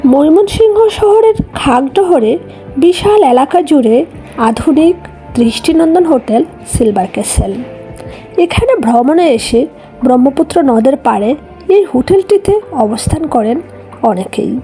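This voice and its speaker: A woman's voice: high voice, animated, measured pace, warm tone, faint music behind. A woman with a Bangladeshi accent narrating a casual family travel vlog in voice-over.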